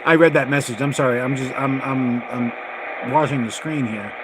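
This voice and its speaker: A man with a medium-pitched voice chats casually on a livestream. He rambles in a folksy, halting way, restarting his phrases. Loud radio static and noise run behind him. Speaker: livestream host, radio hobbyist. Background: noisy shortwave radio signal.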